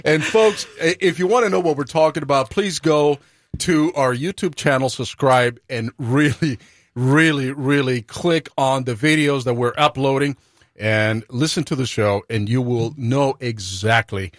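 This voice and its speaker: A man's voice: medium pitch, a little slow, dry recording, clean studio sound. A middle-aged man hosting a talk show, playfully bantering, laughing often and stumbling over repeated words. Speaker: show host.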